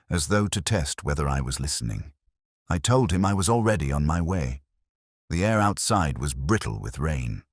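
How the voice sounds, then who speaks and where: A man voicing a weary middle-aged detective, narrating in a measured, melancholy, literary way. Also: a bass voice, darkish tone, husky, no echo, clean studio sound, heavily acted. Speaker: first-person narrator. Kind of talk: scripted audio drama narration.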